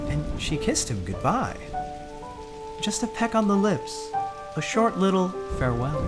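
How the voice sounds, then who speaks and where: A male narrator with a slightly high voice and lively, swooping intonation. He tells the story theatrically at an unhurried pace. Loud music runs underneath the narration. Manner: measured, dramatic storyteller delivery.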